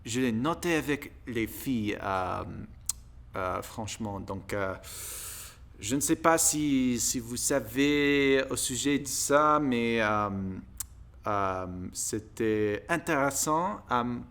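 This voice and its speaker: American guy vlogging, native english speaker, slow n halting, keeps hunting for words, smooth clean voice. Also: medium pitch, fairly bright tone. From United States. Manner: halting, searching for words in a second language. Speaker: video blogger practising French. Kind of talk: casual monologue to viewers.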